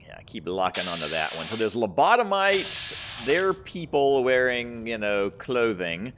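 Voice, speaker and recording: A man's voice: medium pitch, big pitch swings, measured pace, fairly bright tone, a little hiss or hum. A man giving casual gameplay commentary as a channel host, rambling and thinking aloud.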